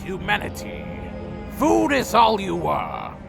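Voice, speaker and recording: Slow, gravelly, proud vampire lord. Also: male voice, high-pitched, very animated, exaggerated voice. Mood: imperious. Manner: slow, theatrical, brooding and menacing. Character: proud, bitter ancient vampire clan leader, contemptuous of humans. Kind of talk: dark fantasy audio drama monologue. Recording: faint music under the voice.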